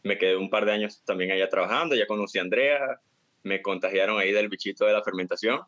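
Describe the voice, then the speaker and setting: Man, Venezuelan accent, guest chef, relaxed storytelling, lowish voice, muffled audio. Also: fast talker. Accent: Venezuelan Spanish. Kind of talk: casual interview chat.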